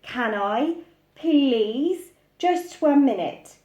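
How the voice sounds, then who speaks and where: A young storybook boy voiced by a woman, keen and pleading. The voice is lifted high and pesters hopefully. It sounds in an echoey room. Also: expressive, extremely slow, a little mellow, full character voice. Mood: eager, pleading. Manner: pleading, eager. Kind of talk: children's storybook character voice.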